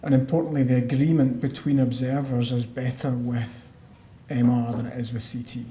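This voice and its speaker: A man's voice: mid-range voice, little pitch movement, warm tone. Scottish man lecturing in a roomy hall. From Scotland. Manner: measured, explanatory, with frequent uh fillers and restarts. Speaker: pulmonologist giving a conference lecture. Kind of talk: medical conference talk. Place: conference hall. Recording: roomy hall sound, faint background noise.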